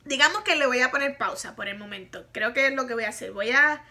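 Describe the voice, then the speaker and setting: A woman's voice: high voice, fast, pushed and bright. A woman in her thirties from Puerto Rico with a Puerto Rican accent, chatting frankly in a makeup review vlog and laughing often. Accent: Puerto Rican Spanish. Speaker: makeup vlogger. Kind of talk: casual product review. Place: bedroom, indoors, small.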